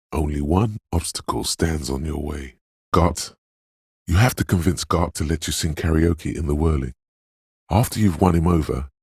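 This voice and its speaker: A man playing a detective's ominous, dreamy intuition in a video game, speaking in a hushed, portentous, theatrical way with dramatic pauses and a gravelly, husky voice. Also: very deep voice, dry recording, clean studio sound, exaggerated voice. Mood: urging, intense. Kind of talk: video game voice acting, second-person inner monologue.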